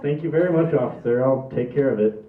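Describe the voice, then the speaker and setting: A level-headed, dry man of middling pitch, acted at a comedy table read. The sound is phone-like and echoey. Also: quick pace, recorded from a distance, a little hiss or hum, lightly acted. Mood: polite, dry. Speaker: actor in a script table read. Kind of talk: live comedy script read.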